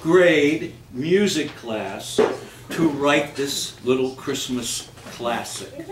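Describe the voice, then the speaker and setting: A man hosts a live stage reading at a slow pace, stumbling and repeating himself in a joking way. He is picked up from a distance in an echoey, noisy room. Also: medium pitch, animated. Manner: halting, repeats words, jokey. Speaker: host of a live holiday readers theater. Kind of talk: informal live stage presentation with comic readings. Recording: echoey, noisy hall recorded from afar.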